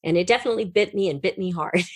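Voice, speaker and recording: A woman's voice: medium pitch, fairly quick, no room sound, studio quality. A middle-aged American woman telling stories enthusiastically as a guest in a conversational interview. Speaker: writer and podcast guest.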